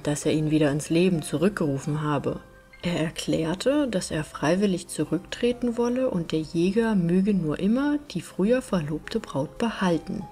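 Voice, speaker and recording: Expressive, husky woman storyteller. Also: lowish voice. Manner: expressive storytelling with careful phrasing. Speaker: audiobook narrator. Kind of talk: scripted fairytale narration.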